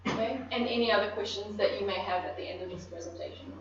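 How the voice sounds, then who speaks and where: A woman with a New Zealand accent giving a technical conference presentation, measured and explanatory with frequent ums. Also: medium pitch, fairly even intonation, heavy background noise. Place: conference room. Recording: echoey room, distant mic, dull.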